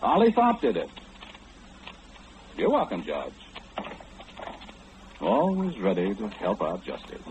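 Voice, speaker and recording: An actor playing an eerie, all-knowing mind reader in old radio horror, speaking slyly and teasingly, straight to the listener. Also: male voice, on the low side, huge pitch swings, slow pace, pauses often, heavily acted. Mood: insistent. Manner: sly, insinuating, theatrical, speaking confidingly to the listener. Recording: muffled, noisy old radio broadcast.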